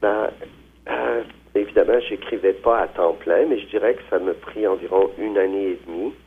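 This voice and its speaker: Invité masculin, voix grave et voilée, hésitant, ligne téléphonique grêle. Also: male voice, lowish voice, steady tone, moderate pace, creaky, slightly rough. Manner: hesitant, frequent fillers and restarts. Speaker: novelist guest. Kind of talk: phone interview. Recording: tinny phone line.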